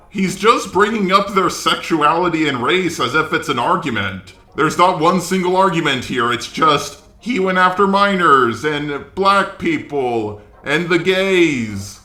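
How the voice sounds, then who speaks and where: Bright-voiced, sarcastic man, roomy sound. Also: on the high side. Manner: sarcastic, exasperated, swearing. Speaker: commentary video creator. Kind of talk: scripted drama commentary.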